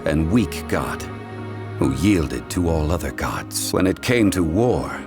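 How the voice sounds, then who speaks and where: A man playing a stern, composed ancient god in human form, speaking formally and with measured gravity in a video game. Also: extremely low pitch, sing-song, full character voice. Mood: grave. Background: music.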